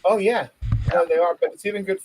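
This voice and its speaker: A man co-hosting a tech podcast, chatting casually and telling a story in an animated, joking way. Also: high voice, fast, gravelly, no room sound, poor audio. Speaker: podcast co-host. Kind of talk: casual chat.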